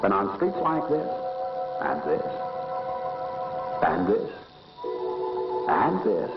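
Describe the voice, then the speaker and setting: Sly sinister drug pill narrator, 60s school film, swoopy delivery, muffled old soundtrack w loud music. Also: male voice, lowish voice. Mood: sly, inviting. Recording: dull vintage film soundtrack.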